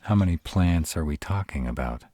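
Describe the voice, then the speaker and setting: A man playing a protective, caring were-dragon with dry humor in an intimate one-sided roleplay audio drama, speaking in a casual, measured way. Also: expressive, dark tone. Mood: relaxed, caring. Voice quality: very deep, husky. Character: gruff, protective male were-dragon, caring, dryly sardonic.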